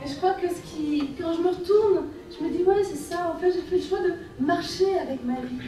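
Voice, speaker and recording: High-voiced French woman in an echoey hall. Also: moderate pace. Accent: French from France. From France. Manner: gentle, lyrical, with repeated phrases. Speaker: workshop leader. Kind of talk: guided women's workshop talk. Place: workshop room at a festival. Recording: very echoey room, from far off, music behind.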